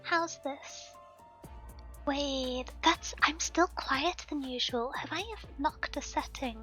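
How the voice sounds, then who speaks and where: Woman streamer, soft-spoken, slightly hoarse; high, bright tone, faint background music. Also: slow pace. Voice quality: softly spoken. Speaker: game streamer. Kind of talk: casual live-stream chat while gaming. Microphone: desk microphone at home.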